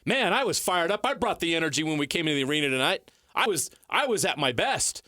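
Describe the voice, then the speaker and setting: Male sports talk host, highly animated and emphatic, arguing his point in a dry, close studio sound. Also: quick pace, no room sound. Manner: emphatic, rhetorical questions, repeats phrases for stress. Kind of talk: heated sports commentary and debate.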